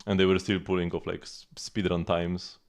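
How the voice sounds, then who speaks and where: Low-voiced man chatting through game commentary. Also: flat delivery, normal speed, creaky. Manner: rambling, thinking aloud. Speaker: game streamer. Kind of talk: casual live gameplay commentary. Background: game music.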